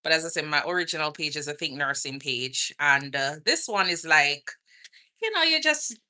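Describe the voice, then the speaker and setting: A woman's voice: low-pitched, expressive, moderate pace, pushed and bright. A woman from Jamaica with a Jamaican accent, chatting casually on a livestream from outdoors and greeting viewers by name. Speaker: vlogger and nurse.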